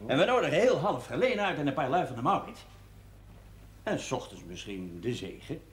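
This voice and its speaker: An exuberant man, with a highish voice that swings up and down eagerly. It sounds like seventies television drama in an echoey room. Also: sing-song, fairly quick. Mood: enthusiastic. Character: exuberant man eager to throw a big party. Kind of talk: 1970s Dutch television drama acting. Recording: roomy, faintly noisy TV audio.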